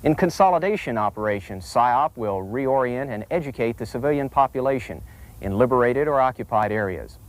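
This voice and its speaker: American male, mid-range voice, formal and measured presenter for scripted military instructional narration. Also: mid-range voice, lively intonation, low-quality recording. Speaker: military training film presenter.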